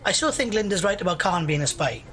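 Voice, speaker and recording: A woman's voice: lowish voice, lively intonation, fast, fairly bright tone. A woman acting in an audio drama as a bored, opinionated, argumentative young procrastinator who loves gossip. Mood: bored ranting. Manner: argumentative, grumbling.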